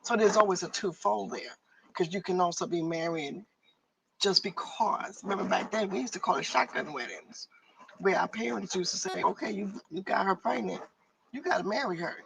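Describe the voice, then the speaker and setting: A middle-aged woman on a church discussion panel, speaking in a measured, reflective way. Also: medium pitch, creaky, a crowd in the background. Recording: distant mic, faint background noise.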